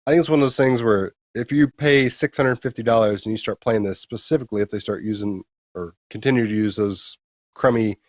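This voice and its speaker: A man's voice: on the low side, a little fast, no room sound, clean studio sound. A man co-hosting a retro gaming show, giving blunt, emphatic opinions in casual talk with some crude slang.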